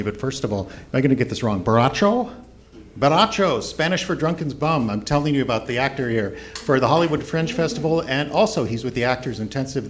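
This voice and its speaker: A man hosting a live stage show, welcoming the audience and introducing performers in a jokey, informal announcer's manner. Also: medium pitch. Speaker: host and founder of a radio theater. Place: on stage in a theater before an audience. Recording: noisy live theater recording.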